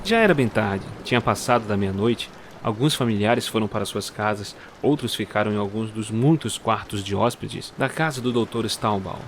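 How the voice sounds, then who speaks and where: Male narrator, scripted storytelling, measured, suspenseful, faint background noise. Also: on the low side. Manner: measured, suspenseful, addresses the listener.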